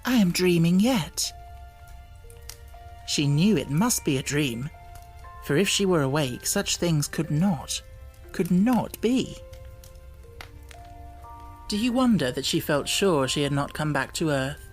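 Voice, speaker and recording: A woman reading an audiobook as narrator, with deliberate phrasing and expressive, dramatic emphasis. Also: deep voice. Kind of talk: dramatic scripted storybook narration. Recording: music bed under the voice. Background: music.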